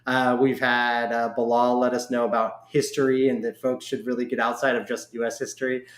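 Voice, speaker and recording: A man's voice: medium pitch, flat delivery, a little fast. American male podcast host, echoey room. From Oregon, United States. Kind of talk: casual interview chat. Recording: echoey, roomy sound.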